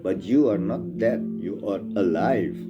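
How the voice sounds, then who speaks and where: Deep-voiced Dutch man musing bluntly. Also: animated, a little slow, dull audio. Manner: blunt, emphatic, philosophical. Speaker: spiritual speaker. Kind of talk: rambling spiritual monologue. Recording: loud guitar music over the voice. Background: loud guitar music.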